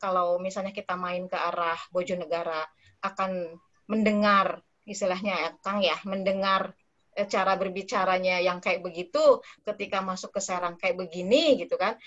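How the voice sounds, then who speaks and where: A woman speaking as a researcher in a relaxed, chatty online discussion, with many fillers and tag words. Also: lowish voice. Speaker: invited speaker, dissertation researcher. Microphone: online call audio. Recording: slightly dull online call audio.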